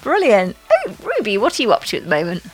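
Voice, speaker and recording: A woman's voice: medium pitch, wildly swooping pitch, fast talker, husky, faint music behind. A woman hosting a podcast casually, with an enthusiastic, encouraging manner. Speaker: podcast host.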